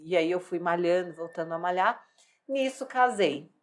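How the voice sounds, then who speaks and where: A woman's voice: fairly low pitch, expressive, moderate pace. A woman in her thirties, a bodybuilding athlete, telling her life story in a candid, rambling way, full of fillers and restarts. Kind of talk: personal life story in casual chat.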